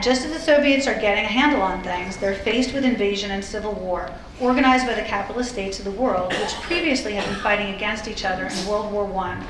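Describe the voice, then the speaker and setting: Woman lecturing in an echoey room. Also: mid-range voice. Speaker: lecturer presenting a historical talk. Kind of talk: scripted history lecture, reading quotations. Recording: roomy echo with faint background noise.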